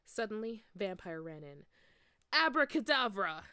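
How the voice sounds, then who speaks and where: A woman's voice: on the low side, a little slow, dry recording, studio quality. A woman narrating fan fiction aloud in an amateur, unrehearsed and theatrical way.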